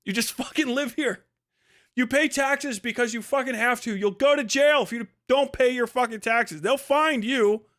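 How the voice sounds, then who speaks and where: A man's voice: very high-pitched, moderate pace, a bit bright. An American man livestreaming an unscripted rant in a blunt, profane, exasperated and argumentative way, repeating points for emphasis. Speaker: livestream host. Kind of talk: casual unscripted livestream rant, answering chat.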